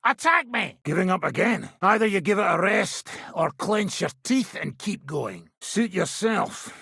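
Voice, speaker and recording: Gruff, gravelly medieval swordsman. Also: sits high, very animated, measured pace, sharp tone, no room sound, studio quality, full character voice. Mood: barking, impatient, then blunt, challenging. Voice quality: rough, gravelly. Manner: blunt, commanding, instructive. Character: gruff, impatient seasoned male mercenary swordsman teaching combat. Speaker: video game character, sword-fighting trainer. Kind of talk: medieval video game voice acting.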